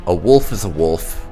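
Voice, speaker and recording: A man narrating game lore aloud as a playthrough host, in a measured reading manner. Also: low-pitched, warm tone.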